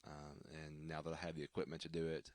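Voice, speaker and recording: A man with an extremely low baritone, his voice worn and scratchy. He talks casually and haltingly, with lots of ums and restarts. The sound is close and dry. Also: completely flat delivery, no room sound. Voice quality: deep baritone. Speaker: worship singer hosting a livestream. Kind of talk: casual livestream sign-off chat. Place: at home.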